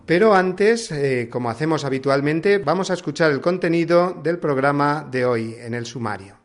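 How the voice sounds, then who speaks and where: A man's voice: a little high, animated. Spanish man hosting a Sunday radio show. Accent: Spain Spanish. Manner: measured, warm welcoming tone with frequent "eh" fillers. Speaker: radio host.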